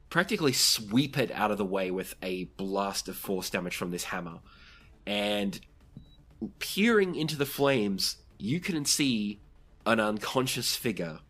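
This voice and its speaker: Low voice game master narrating a tabletop rpg, talking players through their turns. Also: a bit bright, breathy edge. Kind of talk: running and narrating a tabletop role-playing game.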